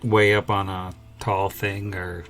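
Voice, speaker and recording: Low-voiced man chatting as game master. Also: flat delivery, slow, a bit bright, soft background music. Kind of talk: casual chat.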